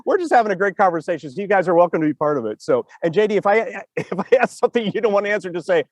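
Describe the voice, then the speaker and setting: A man's voice: sits high, lively intonation, racing, dry recording. A man hosting a casual, unscripted interview, asking questions in an informal, rambling way. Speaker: talk show host.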